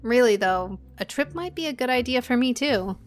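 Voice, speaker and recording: A woman's voice: fairly high pitch, animated, normal speed, faint music behind, close to an everyday voice. A woman playing a guarded, weary young woman in a naturalistic audio drama. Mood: uneasy, reassuring.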